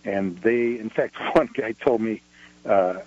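A man's voice: on the low side, slow pace, a little mellow. A man calling in to a talk radio show, chatting in a hesitant way with many fillers. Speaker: caller. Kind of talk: call-in phone conversation. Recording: muffled radio call audio.

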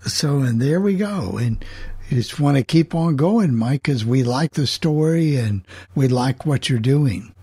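A man with a husky, dark-toned voice hosts a casual group chat. He is encouraging and relaxed in delivery. The sound is dry. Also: mid-range voice, unhurried, no echo. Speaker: host.

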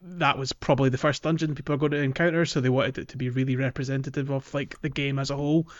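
A man's voice: mid-range voice, flat delivery, fast talker. Rambling male podcast host, slightly dull audio. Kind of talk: casual game discussion.

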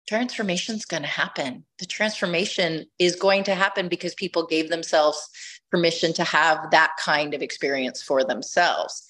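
A middle-aged woman with a lowish voice tells her story at an easy conversational pace. She is reflective and restarts her phrases while she gathers her thoughts. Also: a bit bright. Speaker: podcast guest, retreat leader.

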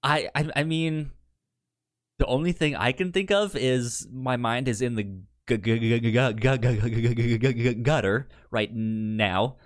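A man in a casual podcast chat as co-host, speaking haltingly and often repeating syllables and words. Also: medium pitch. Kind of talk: casual chat about sitcom episodes.

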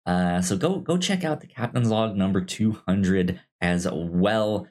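Stammering, animated male podcast host. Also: low-pitched, measured pace. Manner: stammers, repeating syllables and words. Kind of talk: casual podcast chat. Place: indoor room, recorded in person.

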